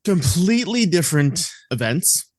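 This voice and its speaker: A man's voice: a little high, big pitch swings, moderate pace, breathy edge, no room sound, studio quality. Enthusiastic, blunt man. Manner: enthusiastic, blunt, swears casually. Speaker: podcast co-host. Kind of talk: casual podcast chat.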